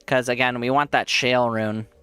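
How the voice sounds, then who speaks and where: A man's voice: mid-range voice, fairly even intonation, moderate pace, a bit bright, clear, resonant tone, no echo, faint music behind. A man with a congested voice, giving rambling casual commentary while he plays a game and laughs often. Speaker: streamer.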